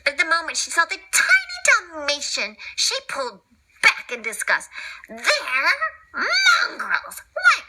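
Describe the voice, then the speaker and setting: A woman's voice: sits high, wildly swooping pitch, normal speed, bright, forceful tone, a little hiss or hum. A woman reading a children's read-along story expressively, with informal asides and exclamations.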